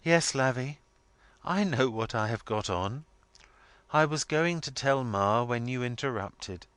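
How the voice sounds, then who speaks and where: A man voicing an affectionate young woman in a storybook, speaking plainly and earnestly with gentle firmness. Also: medium pitch, animated, measured pace, clean tone, dry recording. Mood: earnest resolve. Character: affectionate, frank young woman, daughter of the family.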